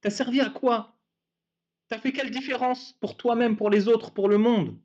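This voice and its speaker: A man's voice: extremely high pitch, steady tone, moderate pace, a little mellow. A man hosting a casual talk, sarcastic and laughing often. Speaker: host of a debate channel. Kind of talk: casual mocking chat. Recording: dull lo-fi sound.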